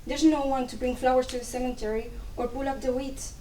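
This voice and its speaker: Woman as lively, reproachful Cuban confidante for stage drama; distant, reverberant pickup with slight noise. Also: high-pitched, a little fast, natural voice. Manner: chiding, mixes in Spanish endearments. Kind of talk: dramatic play reading. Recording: echoey room, distant mic, faint noise.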